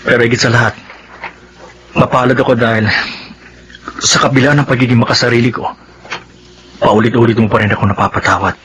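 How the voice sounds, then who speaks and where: An actor playing a remorseful unfaithful husband who speaks hesitantly, in a radio drama. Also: male voice, medium pitch, steady tone, brisk, pauses often, background noise, close to an everyday voice. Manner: hesitant, stammering, confessing.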